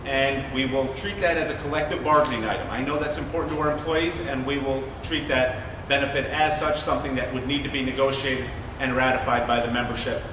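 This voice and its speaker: A man running for mayor, laying out his plans at a forum in a measured, methodical way with frequent "uh" fillers. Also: medium pitch, fairly even intonation. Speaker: mayoral candidate. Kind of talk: campaign forum speech. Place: large meeting hall. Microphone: distant room mic. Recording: echoey hall from far off, noisy.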